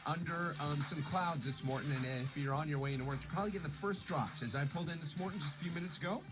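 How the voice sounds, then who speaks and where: An American man hosting a morning radio show in unscripted chat, telling stories and acting out reactions in an animated way. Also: on the high side, racing. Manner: animated storytelling, voices quoted reactions. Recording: noisy recording of radio playback.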